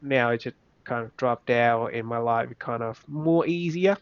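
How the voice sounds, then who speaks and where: A young man from Vietnam with a Vietnamese accent, speaking English as a second language in a casual interview, halting, with many restarts. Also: medium pitch, clear, resonant tone, dry recording. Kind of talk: casual reflective chat. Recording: slightly dull, dry recording.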